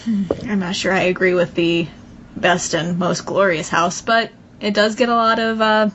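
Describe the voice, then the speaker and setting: A woman's voice: mid-range voice, fairly even intonation, normal speed, a bit bright. Woman, teasing, stubborn, laughs a lot, dull audio, faint hiss. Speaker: podcast co-host. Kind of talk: casual chat.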